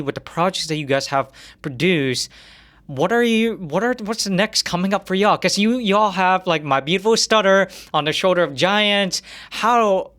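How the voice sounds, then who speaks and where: A man's voice: fairly high pitch, a bit bright. A man hosting a casual interview, speaking in an earnest, effusive and informal way. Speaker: podcast host, interviewer.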